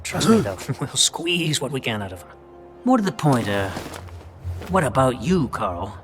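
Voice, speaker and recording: An actor playing a brash, cynical but jovial wartime intelligence officer with an American accent and a teasing, folksy drawl in a game cutscene. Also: male voice, medium pitch, sing-song, normal speed, fairly bright tone, faint music behind. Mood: sardonic, teasing. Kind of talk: video game cutscene acting.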